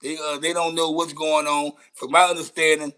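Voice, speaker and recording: A man's voice: fairly high pitch, little pitch movement, normal speed, bright, forceful tone, clean tone. An American man giving casual livestream commentary, with a blunt, slangy, repetitive way of talking. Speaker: content creator reacting to news clips.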